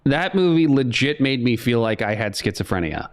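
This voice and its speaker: Young guy ranting about a movie on a podcast, sarcastic, smooth clear voice. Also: mid-range voice, moderate pace. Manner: animated, sarcastic, mimics characters in goofy voices. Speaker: podcast co-host. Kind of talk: casual chat, movie review.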